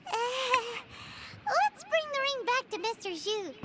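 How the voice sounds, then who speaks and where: Paimon, a squeaky fairy companion. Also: female voice, sing-song, slow pace, heavy background noise, exaggerated voice. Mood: excited. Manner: refers to herself by name in third person. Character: tiny floating female fairy companion, chirpy and greedy. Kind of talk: video game dialogue. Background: game music.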